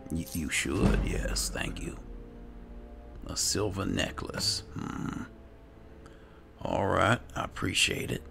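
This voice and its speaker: Uomo dalla voce profondissima e molto roca. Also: male voice, low-pitched, slow, vocal fry, long pauses, bright, forceful tone. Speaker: gaming channel host role-playing as an alchemist. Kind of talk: slow, musing in-character game roleplay commentary. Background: game music.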